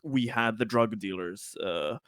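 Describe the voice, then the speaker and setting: A man's voice: lowish voice, a little slow, a bit bright, no echo, clean studio sound. A man chatting casually as a player in a tabletop game, with hesitant, stop-start phrasing.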